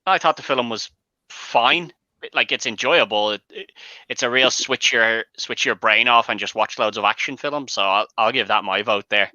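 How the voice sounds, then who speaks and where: A man's voice: mid-range voice, moderate pace, sharp tone, no room sound. A man giving his opinions as a guest in a casual panel discussion. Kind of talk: casual chat. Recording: dry, dull lo-fi audio.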